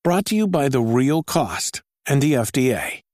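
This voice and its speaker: A man's voice: medium pitch, big pitch swings, normal speed, a little mellow, no echo, studio quality. A man reading a public service ad in an earnest, persuasive scripted delivery. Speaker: ad announcer.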